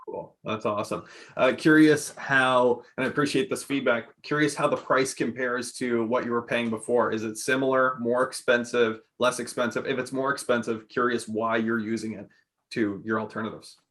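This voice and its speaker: A man's voice: medium pitch, steady tone, moderate pace, fairly bright tone. A man interviewing a customer, asking questions with short friendly affirmations in between. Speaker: interviewer from the software company.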